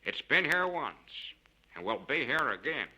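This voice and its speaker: A man's voice: medium pitch, very animated, unhurried, bright, forceful tone, voice pushed up, exaggerated voice, low-quality recording. A man voicing a blunt, foreboding gold-camp miner with a folksy, emphatic way of warning. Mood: ominous.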